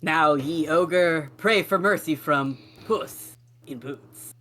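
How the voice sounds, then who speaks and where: A man's voice: a little high, takes it slowly, fairly bright tone. Man doing a swashbuckling cat. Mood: bold. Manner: theatrical, declaiming. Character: swashbuckling male cat, bold and dramatic. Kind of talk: amateur table read.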